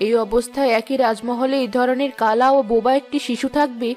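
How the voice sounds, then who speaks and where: A woman playing an anxious, superstitious, insistent young queen in an animated fairy tale, speaking formally. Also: fairly high pitch, brisk, faint music behind, natural voice.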